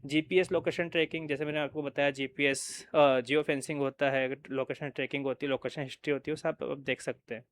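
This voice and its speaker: A man's voice: fairly high pitch, flat delivery, fairly quick, few pauses. A man with an Indian accent giving an informal product walkthrough, explaining and often checking in with listeners. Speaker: product presenter.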